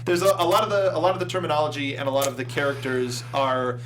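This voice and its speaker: A man's voice: fairly high pitch, flat delivery, quick pace, background noise. A man hosting a casual panel discussion about films, talking with co-hosts. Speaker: host.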